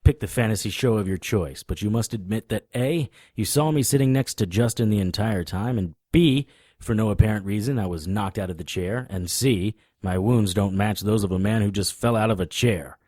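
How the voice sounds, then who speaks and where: An actor playing a wry, stubborn occult investigator in an audio drama, talking and narrating in a conversational noir style. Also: male voice, fairly low pitch, normal speed, a little mellow, dry recording, studio quality, close to an everyday voice. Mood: wry, insistent.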